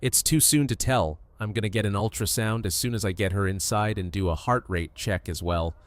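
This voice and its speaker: Guy playing calm male doctor, deep voice, dry close sound, reassuring, audio drama. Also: fairly quick, dry recording, natural voice. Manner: clinical, reassuring. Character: calm, measured male survivor-camp doctor.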